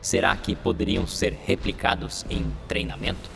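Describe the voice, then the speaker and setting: A man's voice: deep voice, monotone, normal speed, creaky, fairly bright tone, faint music behind, pitched below the performer's own voice, full character voice. A man playing a cold, calculating male observer in an audio drama. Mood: coolly intrigued.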